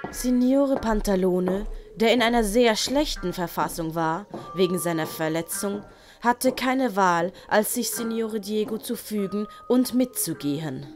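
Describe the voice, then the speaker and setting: A woman's voice: mid-range voice, lively intonation, measured pace. A woman narrating a scripted audio story. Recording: loud music and storm effects behind.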